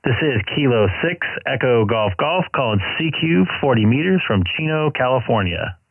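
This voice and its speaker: Man, radio operator, formal repetitive calling, slightly hoarse, mid-pitched, tinny narrow audio. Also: expressive, moderate pace. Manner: formal, repetitive call sign recital with phonetic alphabet. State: voice getting worn, starting to go hoarse. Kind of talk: calling CQ over the air.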